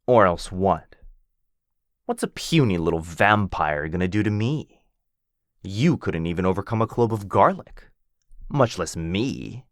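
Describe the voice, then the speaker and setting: Man doing a flirty male werewolf, teasing, possessive, low-pitched, unhurried, dry close sound. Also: expressive, dry recording. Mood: playful.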